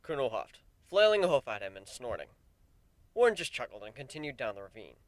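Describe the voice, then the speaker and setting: A man's voice: mid-range voice, big pitch swings, fairly quick, no room sound. A man reading a piece of fan fiction as a dramatic, scripted story narration.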